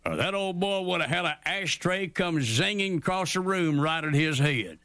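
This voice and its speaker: Brief: an indignant everyman for radio comedy, bright, forceful tone, slightly high, dry booth sound. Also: expressive, moderate pace, no room sound. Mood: ranting. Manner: ranting, folksy, indignant. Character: grumbling down-home everyman man.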